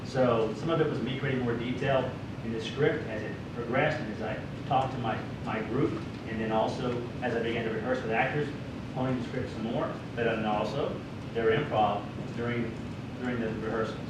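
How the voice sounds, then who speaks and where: A man's voice: medium pitch, steady tone, a little fast, heavy background noise. Rambling man heard from far off in an echoey room. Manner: rambling, laughs and restarts. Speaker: screenwriter and director. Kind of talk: audience Q&A answer.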